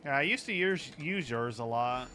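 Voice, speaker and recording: Bright-voiced, casual male game streamer. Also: medium pitch, animated, moderate pace, faint music behind. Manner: informal, sweary. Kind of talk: casual chat over gameplay.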